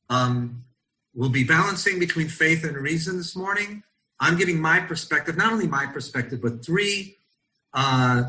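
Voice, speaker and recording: A middle-aged American man from Los Angeles, a rabbi leading an online study session over a video call, speaking thoughtfully and often pausing with ums as he searches for words. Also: on the high side, bright, forceful tone, low-quality recording. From Los Angeles, United States. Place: at home in Israel.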